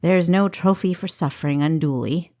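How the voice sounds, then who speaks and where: A woman voicing a stern female doctor in a fantasy audiobook, brisk and blunt with a dry wit. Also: lowish voice, mellow, close to an everyday voice. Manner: economical, blunt.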